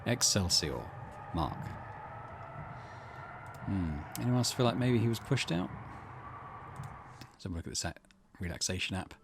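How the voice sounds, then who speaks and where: Lively-pitched man hosting a let's play. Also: measured pace, creaky, long pauses. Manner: casual, musing asides. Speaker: gaming channel host. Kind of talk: let's play, reading aloud and commenting. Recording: faint background noise and ambience.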